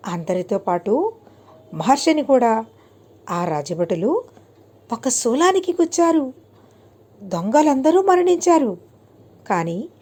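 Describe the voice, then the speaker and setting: Slow, high-pitched woman storyteller. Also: a little mellow. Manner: measured, deliberate phrasing with frequent pauses. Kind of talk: scripted moral storytelling for children.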